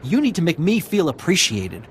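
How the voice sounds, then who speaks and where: A mouthy, aggrieved male refugee in a sci-fi game, fairly high and animated, with some background noise. He whines and wheedles like a small-time hustler. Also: moderate pace. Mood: sly, wheedling. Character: aggrieved, weaselly male refugee informant angling for credits. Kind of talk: video game cutscene acting.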